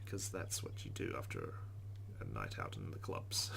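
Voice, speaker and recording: A man's voice: very deep voice, sing-song, moderate pace, vocal fry, a bit bright, slight background noise. A man hosting a let's play, reading visual novel text aloud and adding informal, chatty commentary.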